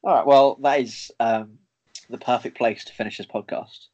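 A man's voice: medium pitch, moderate pace, a little mellow, muffled recording. A young man with a Northern English accent hosting a podcast, upbeat and friendly, laughing easily as he chats. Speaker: podcast host. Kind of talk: casual conversation.

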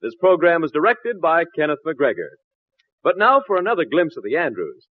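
A man's voice: on the high side, sing-song, brisk. A man announcing in scripted 1940s radio style. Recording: muffled 1940s radio broadcast.

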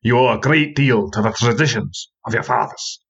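A man's voice: mid-range voice, normal speed, creaky, fairly bright tone, husky, lo-fi sound. A man voicing a proud, patriotic old male storyteller who declaims grandly and with passion. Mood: stern.

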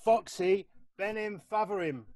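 A man's voice: high-pitched, unhurried, darkish tone, no echo. A man co-hosting a casual chat, quizzing a guest with jokey, self-mocking humour and frequent laughs. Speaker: podcast co-host.

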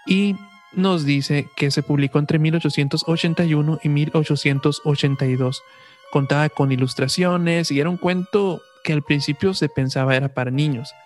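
A man's voice: a little high, a little mellow. A man with a Mexican accent hosting a podcast, talking and reading in an informal way with self-corrections. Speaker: podcast host. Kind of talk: casual chat and reading aloud. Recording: music behind the voice. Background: music.

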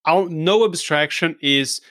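Brazilian man, podcast host, teasing and quick to laugh, dry close sound. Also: fairly high pitch, fairly bright tone, dry recording. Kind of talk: conversational interview.

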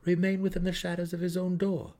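An exiled dark elf from a fantasy audiobook, played by a male reader, earnest and wistful. He speaks frankly, in formal, sincere phrases. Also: high voice, completely flat delivery, pitched above the performer's own voice, natural voice. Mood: challenging. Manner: formal, sincere, measured wording.

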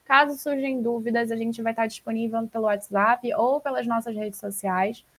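A woman with a Brazilian accent hosting a casual, informal book podcast in an animated, slangy way. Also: a little high, normal speed. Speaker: podcast host. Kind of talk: casual chat about books.

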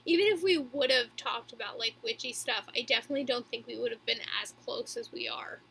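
High, bright young woman, unhurried. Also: big pitch swings, vocal fry, slight background noise. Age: 20s. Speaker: podcast co-host. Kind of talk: casual chat.

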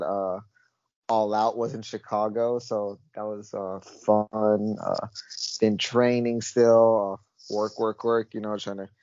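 A North American man co-hosting a podcast in casual chat, laid-back with drawn-out uhs. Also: medium pitch, dark tone, no room sound, muffled recording. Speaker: podcast co-host.

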